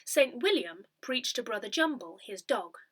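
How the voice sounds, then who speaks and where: Lady reading out a parish newsletter, bright voice, really up and down, careful phrasing. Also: a little high, sing-song, moderate pace, husky. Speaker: volunteer reader of an audio newsletter. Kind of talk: reading aloud a church newsletter.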